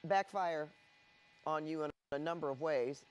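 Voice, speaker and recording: An American man giving unscripted live news commentary, measured and hesitant with frequent pauses. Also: on the high side.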